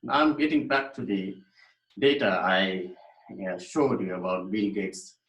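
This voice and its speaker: A man, lecturing on a recording in a fairly low voice. His explanations are thoughtful and broken by fillers and restarts, and the room adds a noticeable echo. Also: a little slow, fairly bright tone. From Nepal. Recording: echoey room.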